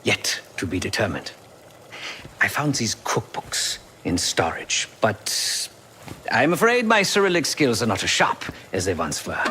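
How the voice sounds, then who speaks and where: Deep, brooding, philosophical former enforcer. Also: male voice, sharp tone, slight background noise, exaggerated voice. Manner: formal, measured, philosophical. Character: remorseful male ex-enforcer turned pacifist, philosophical, brooding, formal. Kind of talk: video game cutscene monologue.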